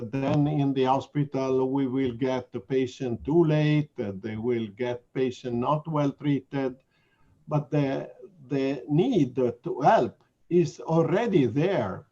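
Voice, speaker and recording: A man with an Italian accent speaks on a webinar, earnest and deliberate, with slightly non-native phrasing. His voice is mellow and warm, at a middling pitch. The call audio is dull and lo-fi. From Italy. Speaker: invited lecturer answering questions. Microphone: video call.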